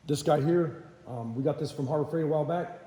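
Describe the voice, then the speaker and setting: A hoarse middle-aged man hosting a casual, rambling walkthrough of his metal fabrication shop, filmed on a handheld phone. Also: on the high side, fairly quick, dark tone. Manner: rambling, filler-heavy, plainspoken. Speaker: host. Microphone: phone camera held in hand. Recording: reverberant shop with faint background noise.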